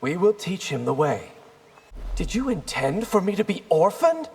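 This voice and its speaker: Casting: sincere, questioning ninja brother in a fighting game, lightish pitch, echoing hall. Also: male voice, big pitch swings, normal speed, gravelly, husky, heavily acted. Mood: wounded, questioning. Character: earnest, wounded younger ninja brother, adult man. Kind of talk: video game fight-intro dialogue. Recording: reverberant hall, noisy background.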